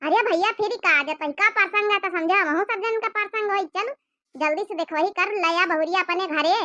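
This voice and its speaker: A woman's voice: extremely high pitch, moderate pace, bright, forceful tone, dry recording, lo-fi sound. A woman voicing a chatty, homely village mother with an Awadhi accent in a comedy cartoon. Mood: pleased, eager. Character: chatty village mother eager for her son's wedding.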